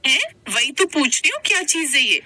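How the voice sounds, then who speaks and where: A woman playing a practical, hopeful young housewife and mother in a Hindi moral-story cartoon, with an expressive, questioning manner. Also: high voice, moderate pace, dry recording, full character voice. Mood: curious, wary.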